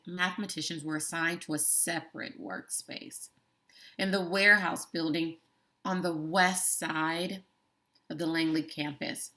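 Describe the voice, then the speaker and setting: A woman teacher reading a chapter of a book aloud to her students, slow and deliberate, stressing key words and adding brief asides. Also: mid-range voice, a bit bright. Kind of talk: classroom read-aloud of a book.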